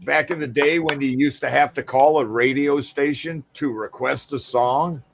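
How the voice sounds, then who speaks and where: A man's voice: mid-range voice, fairly even intonation, moderate pace, vocal fry. A man joining a casual podcast as a guest, chuckling and reminiscing. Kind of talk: casual chat.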